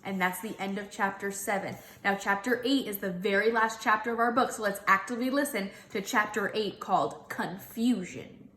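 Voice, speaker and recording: A woman reading a children's storybook aloud like a teacher, expressive and clearly paced for young listeners. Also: medium pitch, moderate pace, hardly any pauses, slight background noise. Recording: roomy echo.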